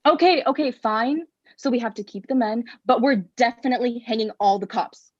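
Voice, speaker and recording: A woman's voice: a little high, brisk, no echo, lightly acted. A woman playing a dry, hard-line radical separatist in a live staged play reading. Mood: grudging. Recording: dry, slightly dull microphone.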